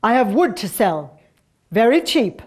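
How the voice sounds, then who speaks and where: A woman voicing a gruff, hearty male peasant woodseller with a Russian accent, speaking emphatically in a told folk tale. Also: a little high, very animated, a little slow.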